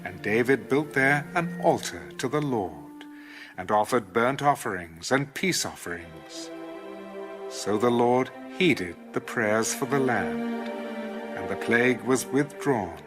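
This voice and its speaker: A man narrating scripture in a solemn, measured, scripted style. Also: mid-range voice, animated. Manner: measured, deliberate. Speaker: narrator of a dramatized audio Bible. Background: music.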